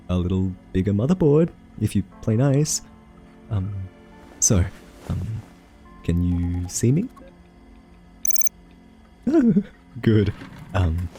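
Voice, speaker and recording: A man playing a quirky scientist in an ASMR roleplay, soft-spoken and hesitant, gently patronizing as he coaxes a captive AI. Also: sits low, full character voice. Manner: hesitant, halting, politely condescending.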